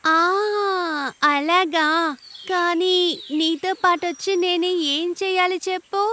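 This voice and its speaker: A woman's voice: very high-pitched, steady tone, slow pace, pushed and bright, a little hiss or hum, heavily acted. A woman voicing a tiny, confident young ant in a children's cartoon, childlike and assertive. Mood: questioning. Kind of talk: animated children's cartoon dubbing.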